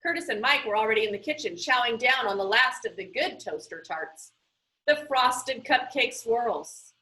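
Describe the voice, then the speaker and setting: A woman reading a children's book aloud in an expressive storytelling style, animated and emphatic. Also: a little high, moderate pace, rough recording.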